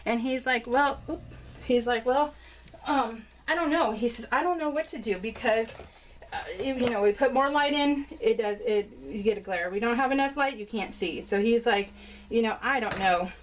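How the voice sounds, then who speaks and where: Woman, American accent, fairly high voice, bubbly and gushing, casual craft vlog chatter, informal. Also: normal speed, poor audio. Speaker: craft vlogger showing her scrapbook album. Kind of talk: casual chatty narration of a craft show-and-tell.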